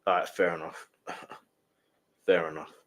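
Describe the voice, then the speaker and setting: A man's voice: low-pitched, flat delivery, unhurried. Nasal man reacting to music. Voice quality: slightly nasal. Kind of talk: casual music reaction commentary.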